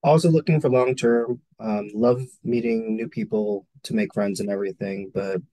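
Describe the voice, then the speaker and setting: A man's voice: lowish voice, fairly even intonation, moderate pace, warm tone. A man in his late thirties chatting casually as a dating show guest on a video call. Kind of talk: casual chat. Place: room at home, video call.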